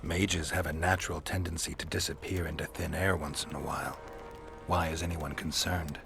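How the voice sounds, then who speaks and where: An actor playing a stoic male monster hunter in a video game, terse and deadpan with dry, laconic delivery. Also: very deep voice, moderate pace, creaky. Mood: dry, guarded. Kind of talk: video game dialogue acting. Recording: music behind the voice.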